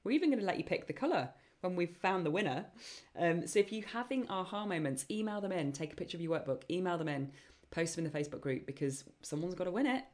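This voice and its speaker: A woman hosting a live online Q&A session, chatty and enthusiastic, with many ums and a voice getting croaky. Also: fairly low pitch, animated, fast talker, darkish tone. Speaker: webinar host and program founder.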